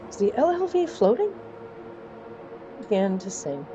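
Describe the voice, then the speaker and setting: Woman storyteller on a fiction podcast, wry n playful, theatrical, music with it, medium pitch. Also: big pitch swings, normal speed, dull audio. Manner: wry, playful asides to the listener.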